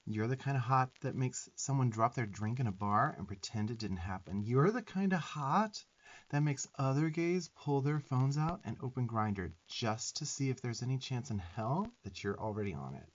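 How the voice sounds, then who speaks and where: A man's voice: mid-range voice, expressive, moderate pace, lo-fi sound, lightly acted. A man voicing a sarcastic, bossy but affectionate little demon twink in a profane, emphatic ASMR roleplay. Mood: fierce affection. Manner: sassy, scolding, profane, emphatic.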